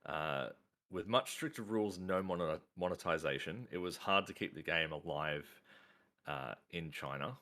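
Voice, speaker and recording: A man's voice: low-pitched, normal speed, sharp tone. A man hosting a casual podcast, reading news items with many hesitant fillers.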